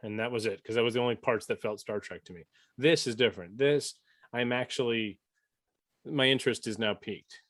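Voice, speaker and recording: A man of middling pitch chats as a panelist on a fan show. His talk is informal, recorded dry without any room sound. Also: fairly quick, fairly bright tone, dry recording. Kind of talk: casual chat.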